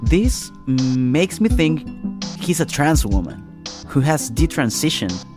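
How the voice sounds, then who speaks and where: A man's voice: a little high, big pitch swings, takes it slowly. A man narrating a personal story in the first person. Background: music.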